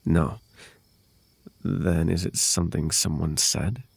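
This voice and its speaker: A man voicing a possessive fae royal in an ASMR roleplay, courtly and coaxing with soft menace under a silky, creaky voice. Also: low voice, steady tone, slow, dry recording, full character voice. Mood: tender, coaxing. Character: possessive, silky male fae royal wooing a captive lover. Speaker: voice actor.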